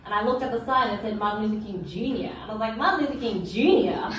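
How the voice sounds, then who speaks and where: A woman's voice: on the high side, animated, quick pace. A woman with an Australian accent doing a stand-up set in a small club, bubbly and self-deprecating. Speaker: stand-up comedian. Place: small comedy club stage indoors. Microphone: stage mic, heard from an audience camera. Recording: distant, echoey club audio with audience noise.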